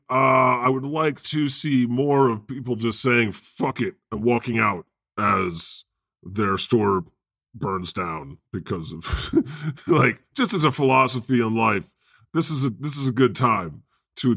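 A man's voice: medium pitch, unhurried, creaky, a bit bright, no room sound, clean studio sound. A man hosting a comedy podcast with improvised banter, speaking in a mock-earnest, profane way. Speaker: podcast host.

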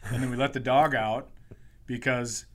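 A man's voice: mid-range voice, steady tone, normal speed, a bit bright. A man co-hosting a comedy podcast, telling an anecdote in a casual, offhand, matter-of-fact way.

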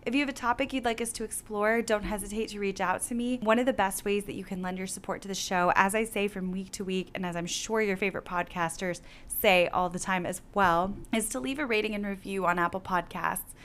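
A young woman hosts her wellness podcast, gushing with praise and upbeat as she wraps up. Her voice sits in a middle pitch and is slightly worn from laryngitis. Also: fairly quick, hardly any pauses, a bit bright, slight background noise. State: recovering from laryngitis. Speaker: podcast host. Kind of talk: casual interview and scripted outro.

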